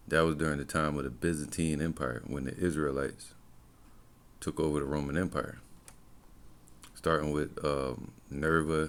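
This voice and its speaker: An American man giving informal religious teaching and commentary as he reads, in halting short phrases. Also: extremely low pitch. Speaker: religious camp teacher and presenter.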